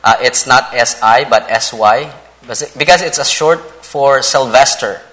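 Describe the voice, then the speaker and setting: A man in his thirties from the Philippines, with a Filipino accent and English as a later language, speaking to a church in a halting, polite way as a visiting preacher. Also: mid-range voice, fairly bright tone, poor audio. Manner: halting, frequent pauses and repeated words, polite. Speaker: visiting preacher, Bible college vice president. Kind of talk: church sermon introduction and scripture reading.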